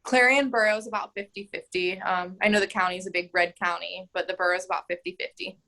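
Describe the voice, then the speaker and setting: A woman's voice: medium pitch, fairly even intonation, quick pace, pushed and bright, poor audio. A woman in her twenties, a mayoral candidate, answering interview questions in a chatty way full of "like" and "um.". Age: 20s. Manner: chatty, frequent "like" and "um", laughs easily.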